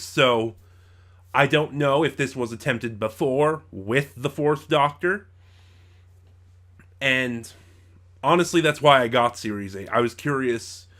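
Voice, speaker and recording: A man hosting an informal review in a conversational, halting way, often circling back to rephrase himself. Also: medium pitch, bright, forceful tone. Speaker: reviewer and host of his own channel. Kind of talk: informal review to camera or mic, loosely scripted.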